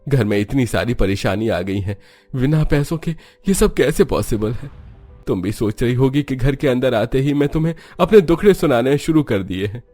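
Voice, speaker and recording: A man's voice: medium pitch, animated, normal speed, dark tone, no echo, faint music behind, close to an everyday voice. A man playing a poor, jobless father in a cartoon story, despondent and apologetic with his wife. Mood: despondent, worried. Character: poor, worried, jobless young father and husband.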